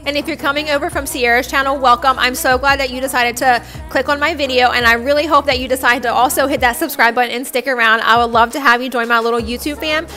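A young woman with a high voice narrates her home cleaning routine as a voice-over. She sounds chatty, friendly and upbeat. Soft music plays faintly under her words. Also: fairly even intonation, fast, few pauses. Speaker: cleaning vlogger, stay-at-home mom. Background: faint background music.

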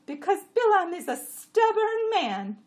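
A woman voicing a bossy, exasperated female donkey with a sharp tongue and a braying, commanding delivery in a story. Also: very high voice, very animated, unhurried, voice pushed up, heavily acted. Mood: earnest, worried. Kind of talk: audiobook character voice.

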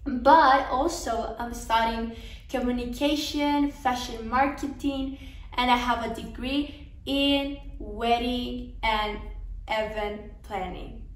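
Young woman, earnest encouraging talk, high voice, distant mic. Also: steady tone, slow pace. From Colombia. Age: young adult. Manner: earnest and encouraging, some restarts. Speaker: conference speaker. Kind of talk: recorded devotional talk. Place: living room, seated on a sofa. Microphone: camera across the room. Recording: roomy, from a distance.

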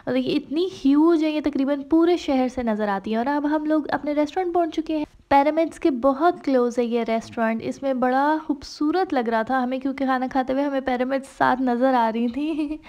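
A woman's voice: high-pitched, brisk, hardly any pauses, warm tone, lo-fi sound. A woman travel vlogger narrating her trip casually, slipping English phrases into her talk with frequent fillers. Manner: chatty, mixes English phrases into Hindi-Urdu, many fillers.

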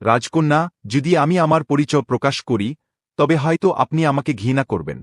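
Young prince, played by a man, cartoon drama, medium pitch, close dry sound, solemn, deferential, formal, burdened. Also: expressive, moderate pace, no echo, natural voice. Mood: grave, solemn. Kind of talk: dramatic Bengali animated story dialogue.

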